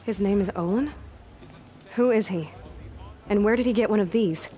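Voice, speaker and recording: A woman's voice: medium pitch, moderate pace, warm tone, natural voice. Direct, curious young huntress played by a woman. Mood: curious, insistent. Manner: probing, self-assured. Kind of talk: video game cutscene acting.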